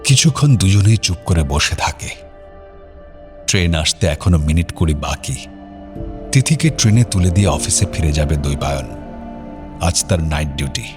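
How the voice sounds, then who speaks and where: A man narrating a scripted dramatic audio story in a measured, suspenseful manner. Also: deep voice, lively intonation. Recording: prominent music bed under narration.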